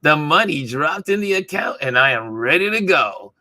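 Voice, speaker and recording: Hoarse, bright-voiced man hosting. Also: a little high, big pitch swings, moderate pace. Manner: chatty, greets viewers by name. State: hoarse, voice going from talking. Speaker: talk show host. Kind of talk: casual livestream chat.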